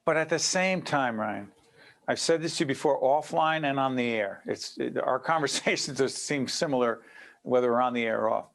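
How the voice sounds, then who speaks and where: A man from Newark, New Jersey, hosting an interview in an animated way, cutting in and firing off rapid questions. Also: mid-range voice, gravelly. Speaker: interview host. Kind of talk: conversational interview.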